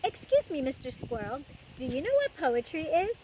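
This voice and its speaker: A woman's voice: high voice, big pitch swings, normal speed, warm tone, slight background noise, poor audio. A woman voicing a curious, eager little boy in a storybook, asking polite, wondering questions.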